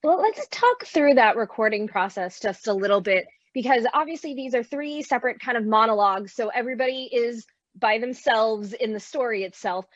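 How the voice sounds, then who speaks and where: A woman moderates a conversational interview in a fairly high voice. The recording is very dry, with slightly dull, lo-fi sound. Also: lively intonation, a little fast, no echo. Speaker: panel moderator.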